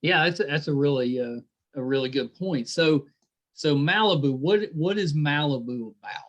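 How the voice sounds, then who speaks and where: A man's voice: fairly high pitch, normal speed, muffled recording. A man hosting a casual interview, agreeable and encouraging. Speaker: interview host.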